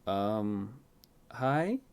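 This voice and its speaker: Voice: medium pitch, very animated, very slow, darkish tone, clear, resonant tone, full character voice. A performer voicing a timid, frightened young child who speaks hesitantly.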